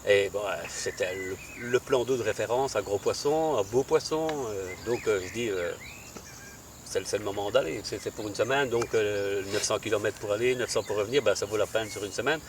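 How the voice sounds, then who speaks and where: A man's voice: lowish voice, a little fast. A man with a Belgian French accent, an angler answering interview questions by a lake, hesitant with many fillers. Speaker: angler competitor. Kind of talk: casual interview. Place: outdoors by a lake. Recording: distant mic, crickets and nature noise. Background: crickets and rural ambience.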